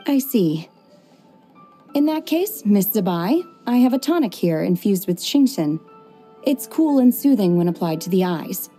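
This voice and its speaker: A woman voicing a calm, polite, aloof young woman warrior who speaks in a measured way in a game cutscene. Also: medium pitch, faint music behind.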